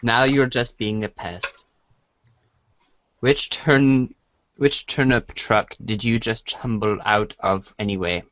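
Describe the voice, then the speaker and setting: Man as a deep droll storybook narrator. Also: fairly even intonation, slow pace, a bit bright, no echo, dull audio. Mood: exasperated. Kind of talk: comic narration.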